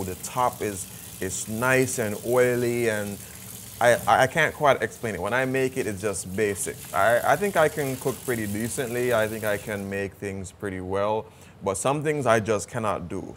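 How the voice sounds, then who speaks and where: A Jamaican man chatting casually as a travel vlogger, relaxed and talkative with some stammered restarts. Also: lowish voice, slight background noise. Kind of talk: casual travel vlog chat.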